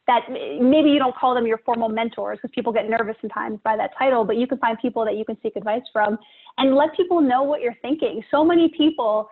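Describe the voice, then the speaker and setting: A woman panelist giving practical career advice on a webinar, steady and direct. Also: on the high side, quick pace, breathy edge. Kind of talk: panel discussion answer. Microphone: webinar video call. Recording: tinny phone-like call audio.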